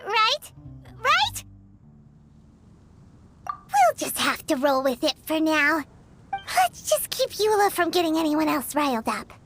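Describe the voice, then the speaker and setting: A tiny chirpy fairy sidekick from a video game, talking about herself by name. Her voice sits very high and leaps up and down. Game music plays clearly under her lines. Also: huge pitch swings, takes it slowly, long pauses, full character voice. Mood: eager. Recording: game audio with loud music.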